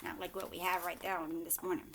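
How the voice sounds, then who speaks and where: A woman in her thirties reading aloud and explaining to young children in an expressive way, asking simple questions, recorded at home on a webcam. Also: fairly low pitch, fairly quick, a little hiss or hum. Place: living room at home, indoors. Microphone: webcam or laptop at arm's length.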